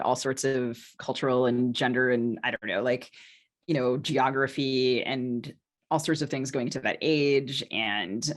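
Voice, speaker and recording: A woman in a casual podcast conversation, speaking as a novelist and co-host in a hesitant, circling way with many qualifications. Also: a bass voice.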